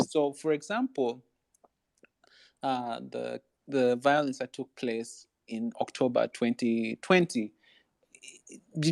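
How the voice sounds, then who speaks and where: A man's voice: medium pitch, no echo. A man from Uganda, answering as a panelist in a thoughtful, searching way with frequent restarts.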